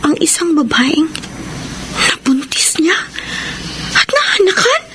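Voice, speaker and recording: A woman's voice: extremely high pitch, big pitch swings, slow, noisy background, full character voice. An actress playing an anxious, wounded young woman telling her love story in a Filipino radio drama, with a Filipino accent. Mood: shocked. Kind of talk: dramatised first-person radio narration. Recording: music under the voice.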